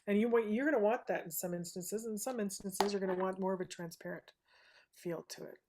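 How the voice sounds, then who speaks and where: A woman with a soothing, low voice gently walking through a live painting demonstration, often checking in with "right?". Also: fairly even intonation, fairly quick, background noise. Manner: soft-spoken, gentle, says "right?" often. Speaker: painting instructor.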